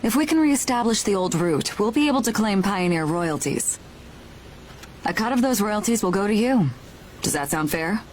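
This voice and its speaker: An actress playing a composed, shrewd woman who leads a caravan crew in a video game, speaking in a measured, businesslike, authoritative way. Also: medium pitch, animated, darkish tone, a little hiss or hum, natural voice. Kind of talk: video game cutscene acting. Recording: game music behind the voice.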